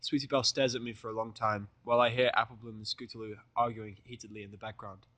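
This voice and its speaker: A man's voice: on the low side, little pitch movement, quick pace, sharp tone, no echo. A man narrating fan fiction in the first person, with irreverent, sweary casual asides.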